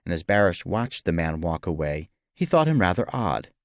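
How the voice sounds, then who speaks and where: A man narrating a scripted story in an expressive, dramatic manner, as for an audiobook. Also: low voice, normal speed, no echo, clean studio sound.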